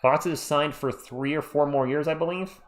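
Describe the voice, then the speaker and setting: A man's voice: a little high, normal speed. A man hosting a live sports stream, chatting casually and reading viewer comments aloud, his voice worn out.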